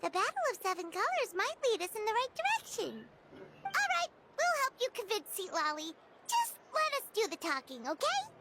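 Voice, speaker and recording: Very high, chirpy little companion creature. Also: female voice, huge pitch swings, very noisy, full character voice. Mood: curious. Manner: bright, eager, quick to chime in. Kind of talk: video game voice acting.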